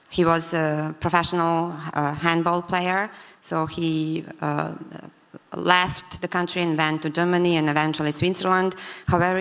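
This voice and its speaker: A woman with a Serbian accent talks in a low voice with little melodic movement. She is improvising a personal account at a conference, pausing and searching for words. Long hall reverb surrounds her. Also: fairly bright tone. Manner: hesitant, frequent pauses and fillers. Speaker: conference speaker, entrepreneur. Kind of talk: spontaneous personal talk. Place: large indoor conference hall.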